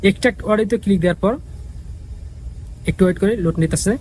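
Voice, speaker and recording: A man's voice: sits high, quick pace, a little mellow, a little hiss or hum. A man giving a casual, repetitive step-by-step app tutorial as the host. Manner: repetitive, instructional.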